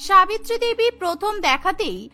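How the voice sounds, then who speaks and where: A woman's voice: extremely high pitch, lively intonation, normal speed, sharp tone. A woman narrating a scripted cartoon story as a storyteller.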